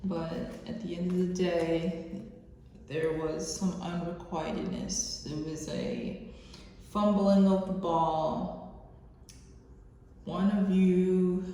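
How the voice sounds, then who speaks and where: A woman host giving an intuitive love reading off the cuff, halting and drawn out, with pauses. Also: on the low side, vocal fry, dark tone. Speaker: tarot reader, channel host. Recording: very echoey room, faint background noise.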